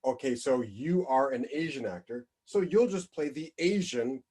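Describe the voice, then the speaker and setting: A man's voice: mid-range voice, moderate pace, breathy edge. A man co-hosting a podcast, talking casually and working through his points with fillers. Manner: conversational, hedging with fillers, expressive. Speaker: podcast co-host. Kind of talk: casual chat.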